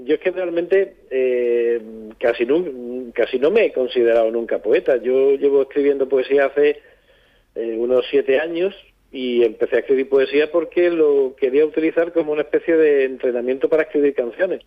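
A man, speaking reflectively as an interview guest, halting as he searches for words. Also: mid-range voice, narrow, tinny audio. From southern Spain. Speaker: poet and songwriter guest.

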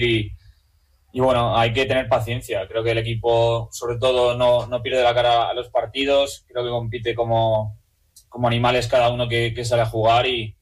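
A man's voice: mid-range voice, poor audio. A young man from Madrid with a Spanish accent from Spain, answering casually as a player in a sports interview, restating his phrases. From Madrid region, Spain. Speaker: athlete, team player.

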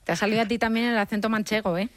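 A woman's voice: medium pitch, steady tone, very fast, no echo. Upbeat woman radio host, dry sound. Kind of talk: radio presenting.

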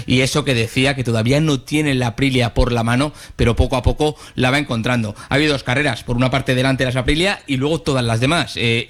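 A man giving a conversational live race report as a motorsport reporter. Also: medium pitch.

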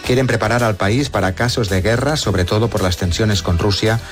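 Deeper voiced reporter guy, radio news report, quiet music under. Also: moderate pace. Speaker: news correspondent.

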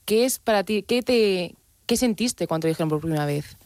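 A woman presents in a Spain Spanish accent with a prepared interview delivery. Her pitch is average and the sound around her voice is dry. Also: expressive, a little fast, a little mellow, no echo. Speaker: radio presenter. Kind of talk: scripted introduction and interview questions.

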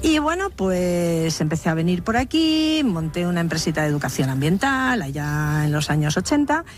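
A woman's voice: on the low side, very animated, moderate pace, soft background music. A woman in her fifties with a Madrid accent, telling her story with humor in an outdoor radio interview. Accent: Madrid Spanish. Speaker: interviewee, biology teacher. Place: village square outdoors.

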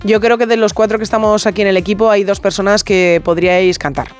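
Woman, Spain Spanish accent, radio co-host, mid pitch, agreeable casual chat, faint music. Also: steady tone, quick pace. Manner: agreeable, reactive.

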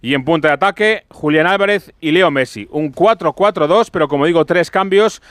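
Guy doing a sports radio report, clean smooth voice a bit high, goes point by point. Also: fairly quick, a bit bright, no echo, clean studio sound. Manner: orderly, methodical. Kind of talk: live team line-up report.

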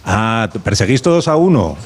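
A man interviewing children in a rural school classroom, chatty and playful, with many quick questions. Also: fairly low pitch, very animated. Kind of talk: casual chat with children.

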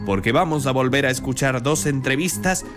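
A man voicing a fussy, self-mocking vampire count who hosts a radio comedy show, with theatrical drawn-out words and a caricatured accent. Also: mid-range voice, music in the background, lightly acted. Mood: grandly welcoming. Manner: caricatured put-on accent that comes and goes. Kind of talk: theatrical comic monologue.

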